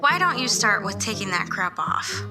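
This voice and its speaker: A woman playing an unhurried, sympathetic bartender in a radio play, with a dry, knowing manner. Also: medium pitch, sing-song, over music, lightly acted. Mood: coaxing. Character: unhurried, sympathetic, straight-talking female bartender.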